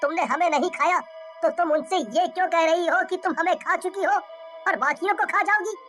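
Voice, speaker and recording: Woman doing a very high, bright cartoon villager. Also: moderate pace, soft background music. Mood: questioning. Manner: anxious, questioning. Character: worried, indignant villager questioning the boss. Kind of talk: cartoon story dialogue.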